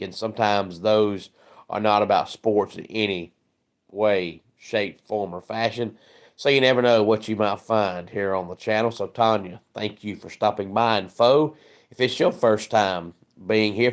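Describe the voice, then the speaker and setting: A man hosting a casual sports livestream, hoarse and scratchy from a head cold, chatting and greeting viewers by name. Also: on the low side. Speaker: sports channel host.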